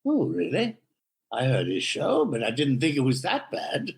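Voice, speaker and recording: An actor playing a droll, neurotic raconteur who rambles drily in 1930s radio comedy style. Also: male voice, on the high side, wildly swooping pitch, normal speed, creaky, full character voice. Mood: dryly droll.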